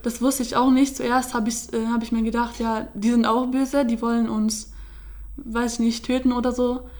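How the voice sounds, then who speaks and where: Young woman, interviewee, halting and even-toned. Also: a little high. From Syria. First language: Kurdish. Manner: hesitant, many fillers and restarts. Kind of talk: casual personal account.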